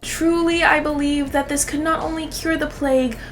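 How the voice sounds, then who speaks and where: A woman's voice: high voice, fairly even intonation, moderate pace, faint music behind. A woman giving casual comedic reaction commentary in a sarcastic, exaggerated way, swearing freely. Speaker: YouTube commentary host.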